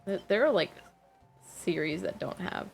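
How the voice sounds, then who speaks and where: A woman livestreaming a game with casual, rambling commentary, thinking aloud in a halting way and questioning herself. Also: on the low side, animated, vocal fry, soft background music.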